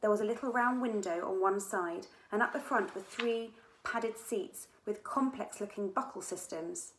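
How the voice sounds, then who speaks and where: A woman author reading her own children's adventure book aloud in an animated storytelling style. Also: mid-range voice, normal speed, a little hiss or hum. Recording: echoey room.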